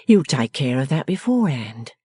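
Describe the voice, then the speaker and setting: A woman voicing a gentle, caring young woman from a storybook, soft, affectionate and reassuring. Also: a bass voice, very animated, moderate pace, slightly rough, no room sound, full character voice. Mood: gently reassuring. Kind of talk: storybook character acting.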